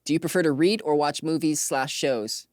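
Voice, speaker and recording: A woman with a low-pitched voice hosts an interview casually. She is informal and affirming, often doubling short acknowledgements. The recording is dry, with very little room sound. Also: normal speed, no room sound. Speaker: interview host.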